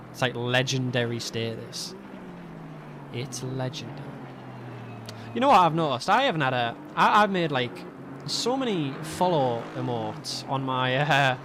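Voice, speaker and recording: A young man commentating a sim race alone, chatty and self-mocking, laughing often, his voice going a little hoarse. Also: fairly high pitch, noisy background. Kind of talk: solo sim racing commentary. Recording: game car sounds behind.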